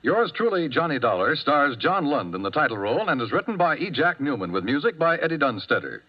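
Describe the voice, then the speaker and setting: A male announcer reads credits in a formal, lively voice that swings up and down. It comes through the dull, narrow sound of an old radio transcription. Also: a little high, fairly quick, soft background music.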